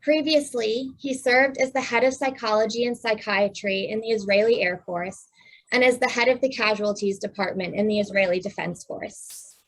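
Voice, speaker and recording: A woman co-chairing a council, reading a scripted introduction over a video call in an even, formal manner. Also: medium pitch, moderate pace, rough recording.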